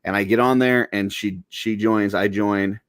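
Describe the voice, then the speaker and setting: Deep-voiced laid-back man telling stories. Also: steady tone, moderate pace, no room sound, clean studio sound. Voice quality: soothing voice. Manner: storytelling, laid-back, heavy use of "like". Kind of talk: casual podcast chat.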